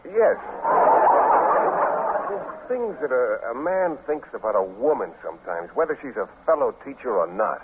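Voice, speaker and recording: An actor playing a bashful, earnest biology teacher who speaks in hesitant, wordy phrases in a 1950s radio comedy. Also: male voice, mid-range voice, sing-song, normal speed, natural voice. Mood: shy, halting. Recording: tinny old radio broadcast, faint noise.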